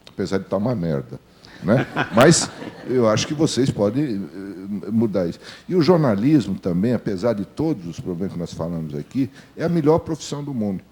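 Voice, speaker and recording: A man's voice: on the low side, dark tone. An older Brazilian man, a veteran journalist, talking informally on a panel in a blunt, colloquial way with repeated phrases for emphasis.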